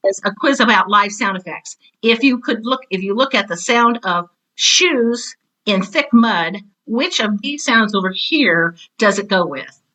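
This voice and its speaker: A woman with a very bright, forward voice at a middle pitch. She talks like a podcast guest explaining her teaching work, conversational and emphatic, slipping into imagined questions and answers as she goes. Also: expressive, measured pace, low-quality recording.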